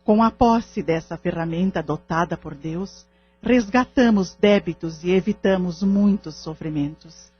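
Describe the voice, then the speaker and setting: A woman's voice: on the low side, unhurried, husky. A Brazilian woman playing a humble, devout medium in an audio drama, teaching in a patient, formal way. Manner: didactic, formal. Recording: dull lo-fi audio with music underneath.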